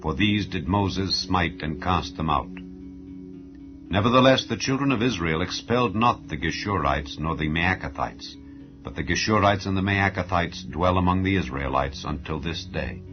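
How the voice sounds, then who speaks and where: A man with a very low voice reads scripture in a solemn, formal style. His phrasing is measured and declamatory, as a Bible narrator's. The recording is somewhat muffled and lo-fi, with background music under the voice. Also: lively intonation. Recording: dull lo-fi audio under music.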